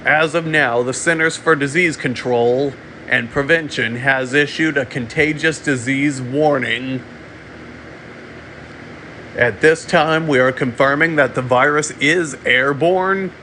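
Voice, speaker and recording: Guy doing a fake emergency broadcast, slow n halting, repeats himself, bit of room hum behind him. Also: medium pitch, bright, forceful tone. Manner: halting, repeats and restarts phrases. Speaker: amateur narrator of a fictional emergency broadcast. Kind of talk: mock emergency alert announcement, semi-improvised.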